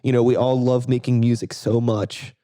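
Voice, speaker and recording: A man's voice: medium pitch, level tone, moderate pace, mellow. A man, a band member, talking earnestly and swearing casually in a podcast chat.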